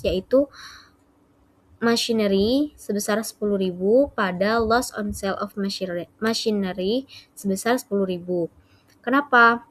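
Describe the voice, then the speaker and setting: A young woman, speaking at a medium pitch. She explains material step by step like a student presenting to class, with a hesitant, self-correcting delivery. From Indonesia. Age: young adult.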